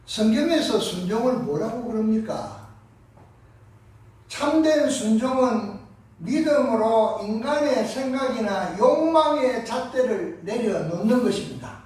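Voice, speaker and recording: A pastor with a Gyeongsang accent delivering a Sunday sermon, impassioned, moving between hushed and loud passages. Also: male voice, very high-pitched, expressive, a little slow. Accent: Gyeongsang Korean. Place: large church sanctuary. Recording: echoey sanctuary from far off.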